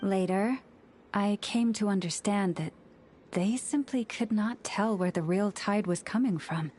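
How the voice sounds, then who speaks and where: Casting: actress for a gentle, solemn young moon priestess in a game, mid-pitched female, slow formal delivery. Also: a little hiss or hum, natural voice. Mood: solemn, reflective. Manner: measured, poetic, formal. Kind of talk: scripted video game dialogue.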